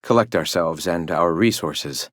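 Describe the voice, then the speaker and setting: An actor playing a zealous philosopher who leads an anti-corporate rebel sect in a video game, speaking in lofty, measured, formal oratory. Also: male voice, sits low, fairly bright tone, dry recording, studio quality. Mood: resolute, rallying. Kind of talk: video game character dialogue.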